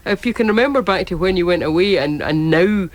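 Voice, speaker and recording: A woman hosts a radio phone-in and talks fast. She moves briskly from guest to guest with friendly questions. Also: on the low side, animated, poor audio. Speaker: host.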